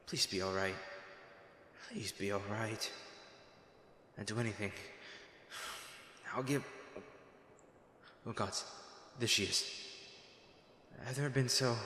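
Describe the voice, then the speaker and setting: A man playing a noble lady's gruff, protective bodyguard, blunt and emotional, in a dramatic fantasy audio roleplay. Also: medium pitch, pushed and bright, slight background noise, exaggerated voice. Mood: anxious, muttering. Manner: exasperated, emotional, blunt, with sighs. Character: gruff, protective male bodyguard of a noble lady, scolding yet anxious.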